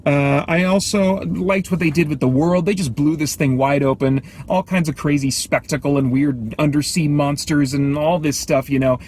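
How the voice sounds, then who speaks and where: A man giving a casual, off-the-cuff film review, thinking aloud in a halting, measured way. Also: on the high side, steady tone, darkish tone, slight background noise. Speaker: movie review host.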